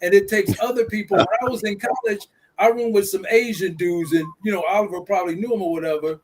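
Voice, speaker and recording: A man holds forth in an easygoing discussion. His voice sits high for a man. He circles back and repeats his key phrases with a preacher's rhythm. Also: steady tone, quick pace, noisy background. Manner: preachy, repeats points for emphasis. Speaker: guest speaker. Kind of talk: casual discussion.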